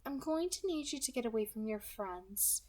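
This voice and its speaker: A woman voicing a polite, menacing female kidnapper with a soft, pleasant alto voice, light and friendly in tone. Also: fairly high pitch, lively intonation, normal speed, voice pushed up. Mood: coolly menacing. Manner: light, friendly, quietly threatening.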